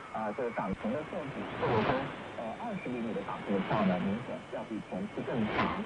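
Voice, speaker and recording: A man giving live financial commentary as a market analyst, heard over shortwave radio. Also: medium pitch. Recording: muffled shortwave radio, loud static.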